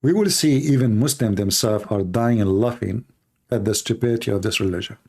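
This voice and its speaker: A man's voice: lowish voice, fairly quick, vocal fry, a little mellow. A man hosting a live stream, giving scornful, mocking commentary with frequent laughter and non-native grammar. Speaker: host of a religious debate channel.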